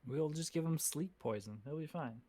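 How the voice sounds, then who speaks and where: A man playing a tabletop game, working out a plan aloud with many pauses and restarts. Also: fairly high pitch, warm tone. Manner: halting, full of fillers and restarts while planning. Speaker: player.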